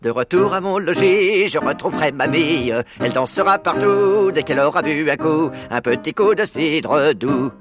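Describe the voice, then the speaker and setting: A man voicing a lively, triumphant male fox who rallies others like a speechmaker in a storybook reading. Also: high-pitched, moderate pace, music in the background, voice pushed up. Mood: singing merrily. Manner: exclamatory, rallying speechmaker. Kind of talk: storybook character voice.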